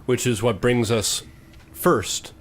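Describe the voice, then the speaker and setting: A man hosting as game master, narrating a tabletop fantasy game in a halting, descriptive way. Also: mid-range voice, fairly even intonation, a little hiss or hum. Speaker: game master, podcast host.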